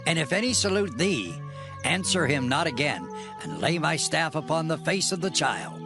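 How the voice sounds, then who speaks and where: A man playing a solemn, commanding prophet in a dramatized scripture reading, with measured, declamatory delivery. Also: fairly high pitch, sing-song, gravelly. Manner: measured, declamatory, archaic phrasing. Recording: music behind the voice.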